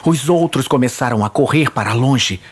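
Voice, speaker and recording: A man's voice: medium pitch, normal speed, noisy background. A man with a Brazilian accent reading scripted fantasy narration in a dramatic storytelling style. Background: faint music.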